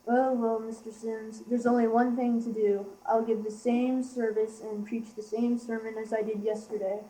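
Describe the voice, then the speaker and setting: Practical but flustered parson, young girl acting, higher pitch, very dark timbre, school radio drama. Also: level tone, moderate pace, lightly acted, rough recording. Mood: resigned. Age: young teenager. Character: flustered but practical male village vicar. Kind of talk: amateur school radio play.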